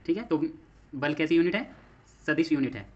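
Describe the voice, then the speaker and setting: Indian male teacher, slightly high, muffled recording. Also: lively intonation, moderate pace. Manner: explanatory, repeats phrases and asks himself questions. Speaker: physics teacher for exam coaching. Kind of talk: classroom lecture mixing Hindi and English terms.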